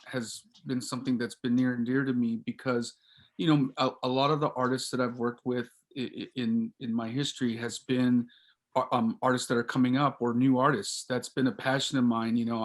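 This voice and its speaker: A man who runs a music company, answering a panel question in a halting way with many restarts. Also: medium pitch.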